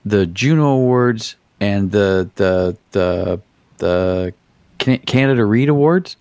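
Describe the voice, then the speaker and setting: Podcast cohost guy, lowish voice, dry sound, trips over his words and jokes about himself. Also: animated, slow, darkish tone, clean tone, no room sound. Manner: stumbling, jokey, self-deprecating. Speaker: podcast co-host. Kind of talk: casual chat.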